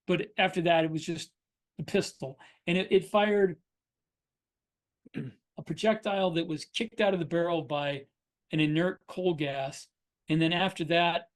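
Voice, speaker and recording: A man with a fairly high voice talks casually as a podcast host. He explains his craft in an informal, conversational style. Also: steady tone, a little slow. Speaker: podcast host and fiction writer. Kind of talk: casual shop talk.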